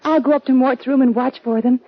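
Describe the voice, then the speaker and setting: Young cowboy's sweetheart, high voice, ardent, anxious, dull vintage radio sound. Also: female voice, fairly even intonation, brisk. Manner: ardent, melodramatic. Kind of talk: 1950s radio western acting. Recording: dull, lo-fi 1950 radio recording.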